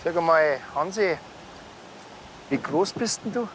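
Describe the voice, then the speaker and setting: Higher-voiced Bavarian cop, dry and bossy. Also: male voice, expressive, a little slow, a bit bright, slight background noise, low-quality recording. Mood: bossy, teasing. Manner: colloquial, laconic. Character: dry, bossy male small-town police officer. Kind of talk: TV crime comedy acting.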